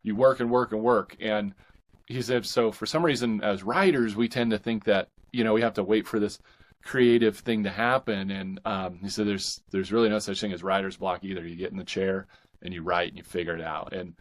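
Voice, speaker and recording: A man speaking as a guest author in a casual interview, earnest and reflective, often halting and repeating words. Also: on the low side, fairly even intonation. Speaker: guest, indie fiction author.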